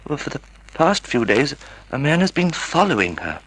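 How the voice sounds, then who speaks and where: A husky, stammering, timid older gentleman. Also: fairly high pitch, very animated, moderate pace, no echo, exaggerated voice, rough recording. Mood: worried. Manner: hesitant, stammering. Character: timid, half-blind, wealthy retired older husband, anxious and polite. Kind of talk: old-time radio drama acting. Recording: vintage radio drama recording.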